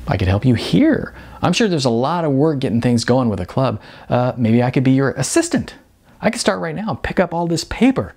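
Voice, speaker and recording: A man's voice: mid-range voice, big pitch swings, fairly quick, a little mellow. A man voicing a hopeful young male musician looking for work in an audiobook, earnest, hesitant and pleading.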